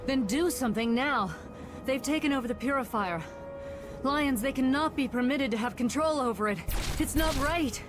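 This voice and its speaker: A woman's voice: sits high, normal speed, natural voice. An actress playing a distraught, weary woman scientist in a video game, urgent and faltering. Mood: urgent distress. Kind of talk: dramatic video game acting. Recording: music behind the voice.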